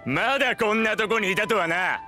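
A man playing a hot-tempered bullying thug in a Japanese game dub, rough, mocking and threatening. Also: sits high, moderate pace, music in the background, lightly acted. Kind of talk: Japanese anime-style game dub acting.

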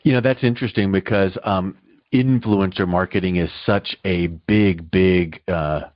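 Low-voiced male podcast host, dry sound. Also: a little slow, dry recording, dull audio. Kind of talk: conversational business podcast interview.

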